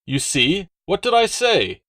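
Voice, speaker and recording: Man acting as overbearing Roman legate, audiobook character, hearty bluster, raised pitch, close dry sound. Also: big pitch swings, unhurried, bright, forceful tone, no echo, full character voice. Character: bluff, overbearing aristocratic Roman legate, jovially bloodthirsty.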